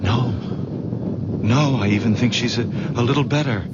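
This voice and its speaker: A man's voice: mid-range voice, moderate pace, close to an everyday voice. An actor playing an anxious young man, probing hesitantly with stammers in an old radio drama. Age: young adult. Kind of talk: 1970s radio drama acting. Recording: muffled old radio broadcast with loud hiss.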